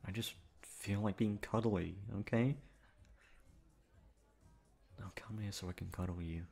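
Casting: male actor as tender, clingy playboy boyfriend, lowish voice, soft intimate ASMR romance delivery. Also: steady tone, measured pace, creaky, soft background music. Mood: tender, affectionate.

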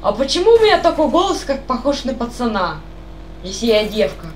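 A woman's voice: fairly high pitch, sing-song, brisk, slight background noise. Rambling young woman gamer chatting. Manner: rambling, asks repeated questions. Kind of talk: casual chat while playing.

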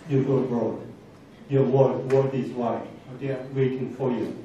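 A middle-aged man with a Korean accent giving a halting, earnest motivational talk to students, often repeating and rephrasing himself. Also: medium pitch, level tone, mellow, muffled recording. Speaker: guest speaker. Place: large indoor university lecture hall. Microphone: handheld microphone, captured from across the room. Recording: very echoey hall, distant, faint noise.

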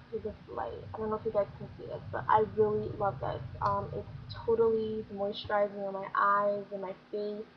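A young woman chatting casually about her favorite beauty products, gushing and filling with "like" and "um", her voice a little scratchy from getting sick. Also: medium pitch. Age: young adult. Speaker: beauty vlogger. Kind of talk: casual product review chat. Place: small bedroom. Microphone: built-in webcam mic. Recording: dull lo-fi webcam audio with faint room noise.

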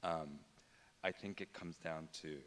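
A middle-aged man with a very low voice speaks on a panel stage through a handheld mic. He talks reflectively and hesitantly, full of "um"s and restarts. A long hall reverb trails his words. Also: steady tone, a little slow, fairly bright tone, a little hiss or hum. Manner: hesitant, many fillers and restarts. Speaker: fashion designer on a panel. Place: stage in a large auditorium.

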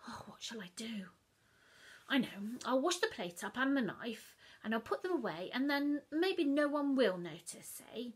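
A middle-aged woman reading a story aloud to children, dramatic and expressive, dropping into hushed whispers, filmed on her sofa in a living room. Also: on the high side, moderate pace, breathy edge.

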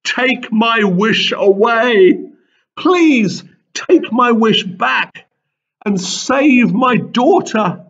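A despairing storybook king as a man reading aloud acts him begs in long, drawn-out cries. The voice is pushed high and slow. The recording is a bit lo-fi. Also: lively intonation, a bit bright, full character voice. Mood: desperate pleading. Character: desperate, pleading king from a Greek myth.

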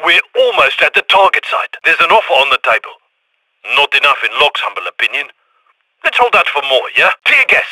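An actor playing a cheerful heist handler, calling out quick game voice lines full of slang and tag questions. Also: male voice, on the high side, very animated, pushed and bright, dry recording, full character voice, low-quality recording. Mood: upbeat. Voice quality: chirpy, slangy, ends lines with "yeah?". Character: cheerful adult male heist handler on radio.

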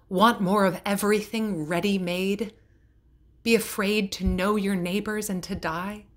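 A woman reading poetry aloud in a measured, deliberate way, pausing between phrases. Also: mid-range voice. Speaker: poetry reader. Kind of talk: poetry recitation.

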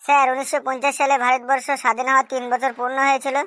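A woman voicing a cheeky schoolchild in a comic cartoon, answering in a deadpan, literal-minded, politely impudent way. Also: high voice, racing, noisy background, close to an everyday voice. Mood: earnest. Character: cheeky schoolchild, earnest-sounding classroom smart aleck. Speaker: cartoon voice actor.

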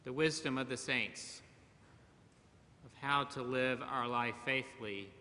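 A middle-aged man preaching a sermon from a church pulpit, slow and measured with long pauses. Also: mid-range voice, fairly even intonation, bright, forceful tone, a little hiss or hum. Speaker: pastor. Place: large church sanctuary, at a pulpit. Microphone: pulpit mic, far camera. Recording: church hall reverb.

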